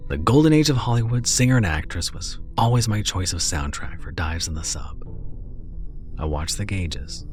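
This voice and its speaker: A man narrating a first-person horror story in a measured, storytelling way. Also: low-pitched. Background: soft background music.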